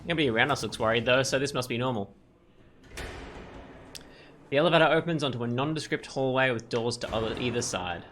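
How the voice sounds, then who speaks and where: A man's voice: medium pitch, fairly quick, pauses often, a bit bright, a little hiss or hum. A young man hosting a casual let's play, reading game text aloud and musing informally over it. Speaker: gaming video host.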